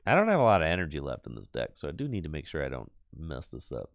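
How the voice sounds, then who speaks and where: Deep voiced guy streaming a card game, voice going from allergies, dry close sound, mumbling his plays. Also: wildly swooping pitch, fast talker, creaky, dry recording. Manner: muttering thoughts aloud while playing, chatty with viewers. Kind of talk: casual live-stream game commentary.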